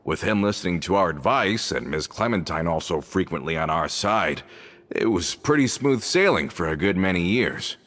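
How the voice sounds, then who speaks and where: A man's voice: low voice, expressive, moderate pace, a bit bright. A man playing a loyal guild member in a game, plain-spoken and a bit hesitant.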